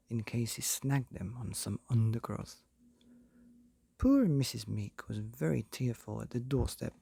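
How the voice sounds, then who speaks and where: Male storybook narrator: husky, mellow, measured delivery with deliberate pauses, for bedtime listening. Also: mid-range voice, no room sound. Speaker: narrator of a bedtime stories podcast.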